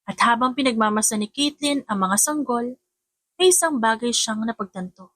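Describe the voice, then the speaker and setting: A woman's voice: on the high side, lively intonation, normal speed, a bit bright, no room sound. A woman with a Filipino accent reading scripted romance fiction as an audiobook narrator.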